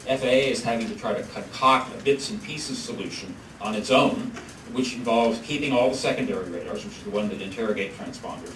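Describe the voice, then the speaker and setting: Male presenter, halting and filler-heavy delivery, roomy reverberant hall. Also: fairly low pitch, fairly bright tone. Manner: halting, many fillers and restarts. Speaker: policy researcher presenting a study. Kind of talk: conference presentation. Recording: echoey room from far off, noisy.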